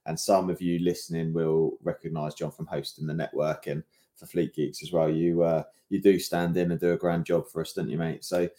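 A British man hosts a laid-back podcast chat, his voice deep and mellow. He is friendly and encouraging, dropping "mate" into nearly every sentence. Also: flat delivery, moderate pace. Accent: British English. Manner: matey, encouraging, says "mate" often. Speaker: podcast host. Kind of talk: casual podcast interview.

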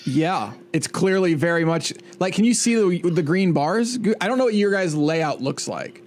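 Man, podcast co-host, teasing, high pitched, very animated. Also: normal speed. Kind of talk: casual chat.

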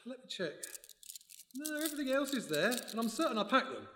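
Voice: medium pitch, sing-song, brisk, fairly bright tone. An actor playing a dutiful, observant servant to a lady in a radio play. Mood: puzzled. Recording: echoey hall with faint noise, live stage.

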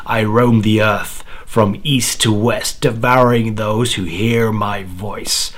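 Man voicing a slow, menacing, low demon spirit. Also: steady tone. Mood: cold, mocking. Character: cruel demon spirit, mocking, hungry, taunting the dying. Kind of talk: horror audio story acting.

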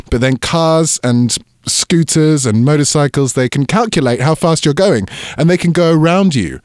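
A man's voice: a little high, a little mellow, dry recording. A man from London speaks in a casual interview. He is wry and self-deprecating. His voice is recorded dry and close.

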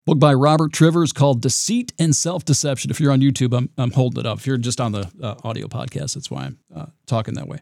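A man with a raspy voice hosting his own podcast, talking in a reflective, rambling way with frequent restarts. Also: medium pitch, fairly even intonation, fast talker, hardly any pauses, darkish tone, no room sound, studio quality. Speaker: podcast host. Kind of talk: solo podcast monologue.

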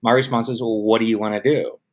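A middle-aged man from the United States, a film producer speaking in a casual interview, telling stories and acting out the voices of people he quotes. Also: quick pace. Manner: animated storyteller, acts out quoted voices with jumps in pitch; repeats words when restarting.

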